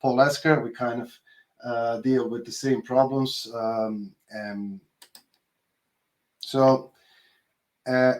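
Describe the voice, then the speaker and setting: Bosnian guy presenting research on a video call, mid pitch, kinda halting, lots of uhs. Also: fairly even intonation, darkish tone. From Bosnia and Herzegovina. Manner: hesitant, halting, frequent filled pauses. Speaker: postdoctoral researcher giving a talk. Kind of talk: informal online conference presentation.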